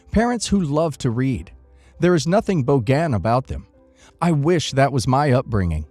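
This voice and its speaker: Expressive man narrating, lively and higher-pitched. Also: no echo. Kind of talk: scripted narration of online stories.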